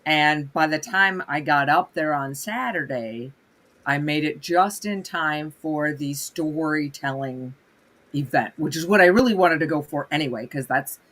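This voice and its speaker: A chatty woman from Minnesota, hoarse from a recent cold yet still bright and forceful in tone. She is a livestream guest who talks through her stories in an animated, easy way. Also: low voice, normal speed. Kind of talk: casual livestream conversation.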